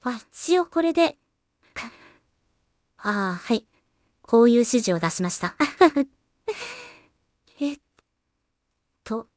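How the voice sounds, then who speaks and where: Higher-pitched woman musing aloud as she works. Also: big pitch swings, darkish tone, no room sound, clean studio sound. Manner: unhurried musing with trailing, self-directed remarks. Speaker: solo animation creator and streamer. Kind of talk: casual work-along commentary, thinking aloud.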